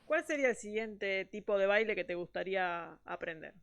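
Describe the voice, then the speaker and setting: A woman's voice: medium pitch, animated, moderate pace, gravelly, highs cut off. A woman hosting an interview, asking short, direct questions in a friendly way. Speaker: interviewer, host. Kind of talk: conversational interview.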